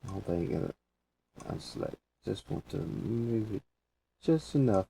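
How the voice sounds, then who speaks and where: A man presenting a casual software tutorial, talking through each step as he goes in informal, folksy phrasing. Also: on the low side, lively intonation, unhurried, gravelly. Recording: distant mic with faint background noise.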